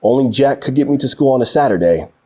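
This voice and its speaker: Man playing a husky, gentle old grandpa. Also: medium pitch, animated, quick pace, natural voice. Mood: amused, nostalgic.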